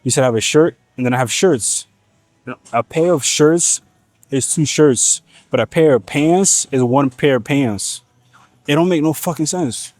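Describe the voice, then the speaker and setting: A man's voice: on the high side, measured pace, darkish tone, no room sound. A man from Nigeria whose first language is Igbo, rambling casually in a gym vlog with slang and swearing. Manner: slangy, profane, rambling asides. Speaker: fitness vlogger.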